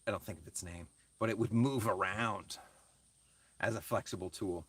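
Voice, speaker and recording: A man's voice: on the low side, normal speed, a bit bright, slightly rough. Reflective man chatting on a livestream. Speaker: craftsman livestreaming to viewers. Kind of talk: casual livestream chat, answering viewer comments.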